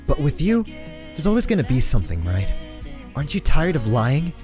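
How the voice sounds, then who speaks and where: A man's voice: a little high, huge pitch swings, moderate pace, darkish tone, faint music behind. A man playing a young man betrayed by secrets, accusing and emotional, in amateur roleplay acting. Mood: hurt, accusing.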